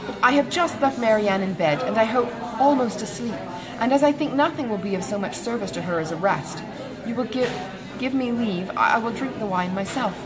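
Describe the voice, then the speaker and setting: A woman voicing a sensible young gentlewoman in an audiobook, measured, polite and restrained. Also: a little high, animated, crowd noise, natural voice, rough recording. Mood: courteous. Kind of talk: audiobook character dialogue.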